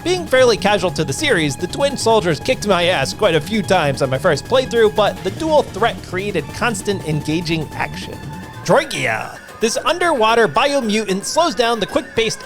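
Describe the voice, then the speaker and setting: A man delivering scripted voice-over commentary for a gaming video, lively and self-deprecating with casual asides. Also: sits high, normal speed. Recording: voice-over with loud background music.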